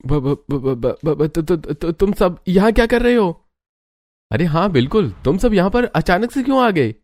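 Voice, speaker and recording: A man's voice: high voice, very animated, measured pace, darkish tone, no room sound, full character voice. A man playing a sheepish young husband in a cartoon, earnest and defensive in how he speaks. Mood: startled.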